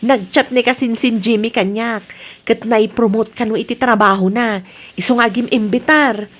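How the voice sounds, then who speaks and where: A woman's voice: a little high, steady tone, normal speed, lightly acted. A woman with an Ilocano accent playing an aggrieved, frustrated married daughter in a radio comedy-drama, emotive and full of complaint. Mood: excited.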